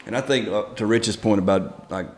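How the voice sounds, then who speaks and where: A man co-hosting a casual devotional talk, thoughtful and measured, posing questions to the group. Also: fairly low pitch, darkish tone. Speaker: podcast co-host.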